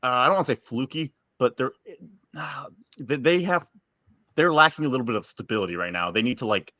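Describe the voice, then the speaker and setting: A man's voice: medium pitch, expressive, a little fast, sharp tone, dry recording, lo-fi sound. A man co-hosting a sports podcast, chatting casually with emphatic opinions and some swearing.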